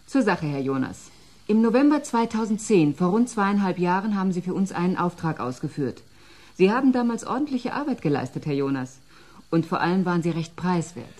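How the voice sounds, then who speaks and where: An actress playing a cool, formal senior culture official in a German radio play, brisk and slightly condescending. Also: female voice, fairly low pitch, big pitch swings, a little mellow.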